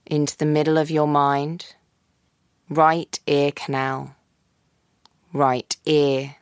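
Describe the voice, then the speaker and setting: A woman guiding a yoga nidra practice in a slow, soft, calm voice with long pauses. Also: sits low, smooth, no echo, studio quality. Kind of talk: guided meditation.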